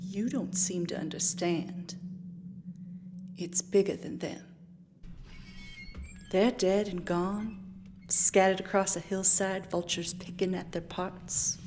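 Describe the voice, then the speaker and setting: A grieving widow of the old west , acted by a woman, set on revenge. She speaks slowly and deliberately with quiet menace, as in an audio drama, with prominent music behind her. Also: mid-range voice, fairly even intonation, close to an everyday voice. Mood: cold, resolute. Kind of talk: western audio drama acting.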